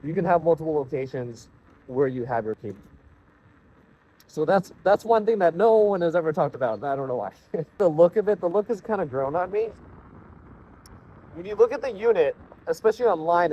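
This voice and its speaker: A man's voice: on the high side, darkish tone, a little hiss or hum, poor audio. Casual man reviewing a gadget. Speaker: product reviewer. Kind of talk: casual unscripted product review.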